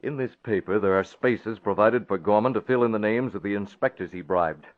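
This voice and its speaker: An actor playing a bold, authoritative publisher and masked vigilante in clipped old radio drama style. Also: male voice, fairly low pitch, fairly quick, close to an everyday voice. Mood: stern, commanding. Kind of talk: 1940s radio drama acting. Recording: dull vintage radio recording.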